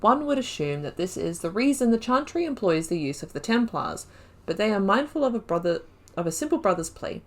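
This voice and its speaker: A woman giving casual playthrough commentary as a gaming video host. Her voice is mid-pitched, and she talks informally, with wry asides as she reads and reacts. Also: sing-song, brisk. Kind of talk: casual playthrough commentary, reading game text aloud.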